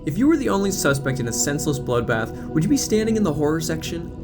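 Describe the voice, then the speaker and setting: A man voicing an excitable teenage know-it-all movie geek and video clerk, lecturing emphatically in an audio drama. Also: a little high, fast, music in the background, pitched above the performer's own voice. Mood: scornful.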